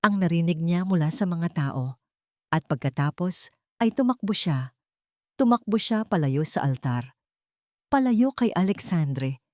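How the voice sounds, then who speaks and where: A woman's voice: lowish voice, darkish tone, dry recording, clean studio sound. A woman reading a scripted love story as a dramatic narrator.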